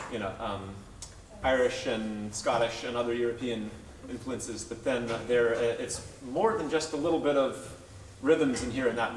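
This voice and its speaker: A man's voice: mid-range voice, a little hiss or hum. A man, a folk musician and flute maker, casually explaining a style of music on stage, thoughtful with some fillers. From Virginia, United States. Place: live music venue. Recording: echoey room, distant mic.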